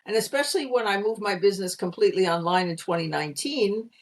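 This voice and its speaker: Middle-aged American woman from Pennsylvania, articulate guest, explains clearly, speaks over a video call. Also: medium pitch, fast. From Pennsylvania, USA. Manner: articulate, explanatory, light laughs. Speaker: guest, communication consultant. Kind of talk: conversational interview answer.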